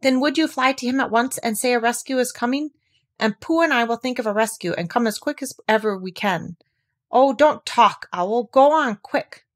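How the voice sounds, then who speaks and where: An earnest young boy, acted out by a woman reading a storybook, pitched high. He is affectionate and excitable, speaking in eager exclamations and anxious questions. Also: moderate pace, lightly acted. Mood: urgent. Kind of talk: children's storybook character voice.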